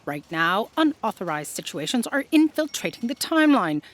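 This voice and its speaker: A woman playing a bossy, commanding female editor in a sci-fi comedy audio drama, with formal, crisp authority. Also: mid-range voice, very animated, normal speed, lightly acted. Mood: stern.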